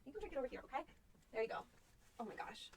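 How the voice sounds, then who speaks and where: A woman rambling softly and in whispers as an ASMR host, with a cutesy, coaxing, playfully teasing manner. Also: high-pitched, big pitch swings, racing. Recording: faint background noise.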